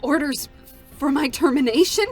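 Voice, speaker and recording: An actress as a vengeful female android deserter from a game, grim and defiant. Her voice sits on the high side and is charged with bitterness. Music plays behind her. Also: moderate pace, a little mellow, close to an everyday voice. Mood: shocked. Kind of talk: dramatic stage reading of a game character.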